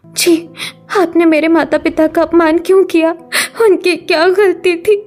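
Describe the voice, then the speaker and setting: A gentle, long-suffering young daughter-in-law, as an actress plays her speaks timidly and with hurt. The voice is high and submissive, in a family drama with soft background music. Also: flat delivery, a little slow. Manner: soft-spoken, deferential, tearful.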